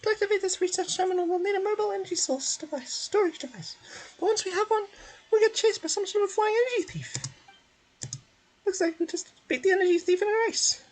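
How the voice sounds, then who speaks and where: A woman voicing a small, excitable, childlike female fairy companion in a video game. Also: very high-pitched, quick pace, voice pushed up, poor audio. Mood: fretful. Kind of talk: video game character dub by a streamer.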